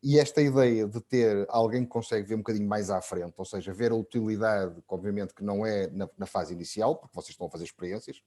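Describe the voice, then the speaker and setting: A man with a European Portuguese accent moderates an online talk, rambling through his points with repeated words. He speaks quickly in a low, mellow voice. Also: steady tone. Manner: discursive, repeats words while thinking. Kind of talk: webinar moderation.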